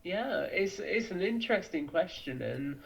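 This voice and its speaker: A man's voice: sits high, lively intonation, unhurried, gravelly, fairly bright tone, rough recording. A man answering as a podcast guest, talking in a thoughtful, explanatory, conversational way.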